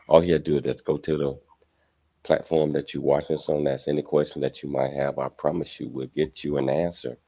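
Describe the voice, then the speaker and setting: A man's voice: extremely low pitch, gravelly, warm tone. A man hosting a radio show, addressing listeners in a conversational presenting style.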